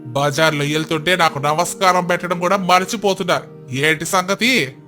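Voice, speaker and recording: Village landlord played by a man: greedy, pompous, scheming, with a forceful sharp edge over faint music. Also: high voice, moderate pace. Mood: haughty, scheming.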